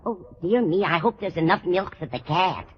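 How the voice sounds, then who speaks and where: A woman's voice: on the low side, lively intonation, normal speed, lightly acted. An actress playing a sweet, forgetful elderly landlady in an old-time radio comedy, kindly and dithering, often losing her thread. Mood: dithering, fond. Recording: dull, faintly noisy vintage radio recording.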